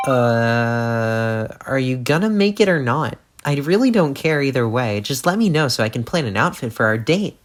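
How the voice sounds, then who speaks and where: A woman's voice: very deep voice, sing-song, normal speed, few pauses, background noise. A woman playing a brash, dismissive teenage alien troll girl in an audio drama, flippant, impatient and sarcastic. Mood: bored, dismissive.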